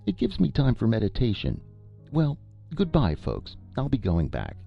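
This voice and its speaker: A man's voice: mid-range voice, huge pitch swings, moderate pace, gravelly, husky, lo-fi sound, pitched above the performer's own voice, heavily acted. Guy doing a cheeky young fella, breezy and teasing, lifted voice, faint music behind. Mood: cheerful. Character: cheerful cheeky young newspaperman.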